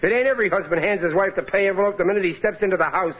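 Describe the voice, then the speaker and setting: An actor playing a harried working-class husband who is always broke, grumbling and exasperated in 1940s radio acting, on a muffled old broadcast. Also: male voice, high-pitched, racing, lightly acted.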